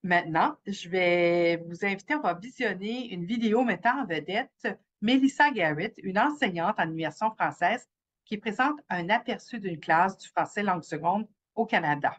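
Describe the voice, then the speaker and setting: Woman presenting with halting fillers. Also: medium pitch, lively intonation. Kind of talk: informational presentation.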